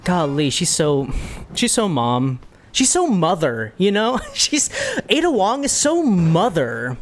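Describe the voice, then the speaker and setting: A woman's voice: lowish voice, sing-song, takes it slowly, a little hiss or hum. A woman giving casual, musing gameplay commentary to her livestream viewers, talking through her thoughts. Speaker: game streamer.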